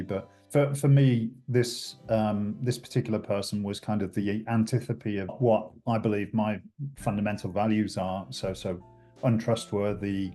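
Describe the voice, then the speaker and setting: A middle-aged British man talks through a video call with a very low, mellow voice. He speaks slowly and carefully, pausing and restarting often. Faint music plays underneath. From United Kingdom. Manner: reflective, many restarts and fillers. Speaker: guest. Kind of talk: conversational interview.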